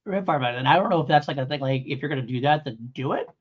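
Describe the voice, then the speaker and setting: A man's voice: on the high side, expressive, fast, muffled recording. A man co-hosting a casual review chat, rambling and enthusiastic as he restates his points. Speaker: podcast co-host reviewing audio dramas.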